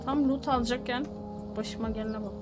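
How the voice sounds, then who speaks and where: A woman's voice: a little high, steady tone, fairly quick. A woman doing casual, playful gameplay commentary, talking to herself and slipping in English slang and swearing. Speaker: gaming streamer. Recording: game music behind the voice. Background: loud game music and sound effects.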